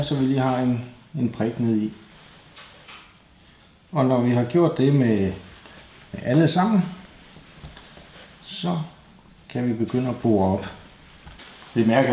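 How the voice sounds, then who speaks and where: A Danish man with a mid-pitched voice walks through a practical job in a casual, explanatory tone. He speaks plainly, like an instructor showing each step. The recording has a clearly echoey room sound with faint noise underneath. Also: mellow. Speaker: DIY presenter. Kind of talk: hands-on tutorial narration, casual.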